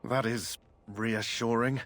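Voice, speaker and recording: A man's voice: medium pitch, fairly even intonation, slow pace, fairly bright tone. A man playing an anxious knight who doubts and protests in an agitated way. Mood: fearful, agitated. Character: anxious, doubting knight afraid of monster hordes.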